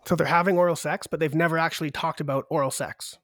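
A man's voice: fairly high pitch, steady tone, quick pace, fairly bright tone, dry recording, studio quality. Man with a cold, upbeat podcast host. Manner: upbeat, explains survey numbers. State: has a cold. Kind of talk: casual chat.

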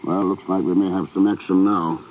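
Man acting a secret agent, lowish voice, dry, clipped, commanding, 1950s radio drama, faintly noisy old recording. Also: little pitch movement, a little fast, a little mellow, close to an everyday voice. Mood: tense, commanding. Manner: dry, clipped, self-assured. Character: cool, commanding American secret agent, a seasoned adventurer.

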